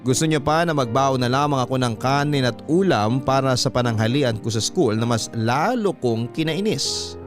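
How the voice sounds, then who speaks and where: A man with a Filipino accent voicing a resentful, self-pitying student's personal story as measured, confiding radio drama narration. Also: medium pitch, music in the background, lightly acted. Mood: resentful, sad.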